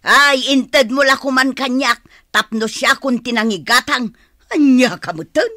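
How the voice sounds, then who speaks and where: A woman's voice: high-pitched, expressive, a little slow, sharp tone, dry recording, clean studio sound, exaggerated voice. An actress playing a brash, demanding, gambling older mother and grandmother in a radio drama. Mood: demanding, dismissive.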